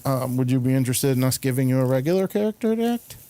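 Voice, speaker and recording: A man hosting a casual interview, speaking in a halting, hesitant way with many pauses. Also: mid-range voice, expressive, mellow. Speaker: show host and interviewer.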